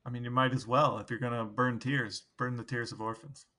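A man co-hosting a podcast in casual banter, explaining things in a measured way. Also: medium pitch, flat delivery, sharp tone. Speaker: podcast co-host.

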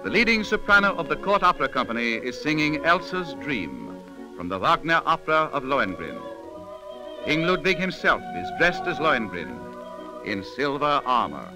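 A man's voice: a little high, big pitch swings, moderate pace, rough recording. A man giving scripted, dramatic narration in the style of 1930s radio. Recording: 1930s radio recording, music underneath.

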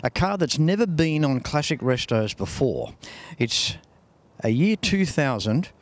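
A man's voice: a little high, big pitch swings, measured pace. An older Australian man hosting a car show in a large showroom, chatty and enthusiastic, on a lapel mic. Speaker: host.

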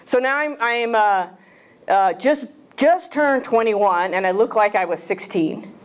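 A woman's voice: mid-range voice, unhurried, smooth. A woman in her fifties giving a casual, jokey talk to a club audience in a small lecture room, using a handheld mic and often asking the room questions. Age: 50s. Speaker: guest speaker.

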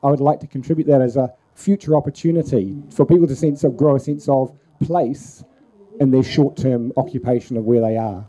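A middle-aged man with a New Zealand accent addresses a forum from the audience. His voice is very dark and soft-edged, at an ordinary male pitch. He speaks into a handheld microphone. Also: brisk, rough recording. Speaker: audience member, council planner. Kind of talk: public comment at a forum. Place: large crowded festival tent.

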